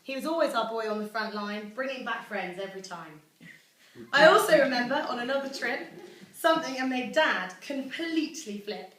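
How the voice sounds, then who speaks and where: A woman's voice: on the high side, animated, normal speed, a bit bright. A young British woman reading a rhyming celebratory speech aloud to a gathering. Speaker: daughter reading her own celebratory poem. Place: echoey room at a family celebration. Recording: echoey room, distant mic, faint noise.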